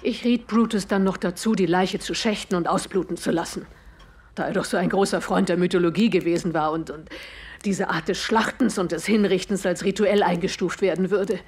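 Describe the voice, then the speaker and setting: An actress playing a cold, bitter widow in a German audio drama, deliberate and defiant. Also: female voice, on the low side, fairly even intonation, darkish tone, breathy edge. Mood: cold, confessing. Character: cold, bitter widow confessing to her husband's murder.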